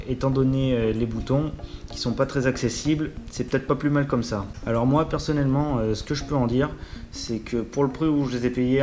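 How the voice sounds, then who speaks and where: A man's voice: medium pitch, darkish tone. A man giving an informal spoken product review in a hesitant, matter-of-fact way, as a gear vlogger.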